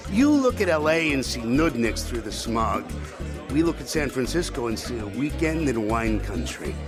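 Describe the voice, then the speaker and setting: An actor playing an older, brash show-business mogul in an audio drama, boastful and kibitzing with Yiddish slang. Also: male voice, medium pitch, sing-song, normal speed, close to an everyday voice. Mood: teasing. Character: brash old show-business movie mogul, wisecracking deal-maker. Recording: music playing behind the voice.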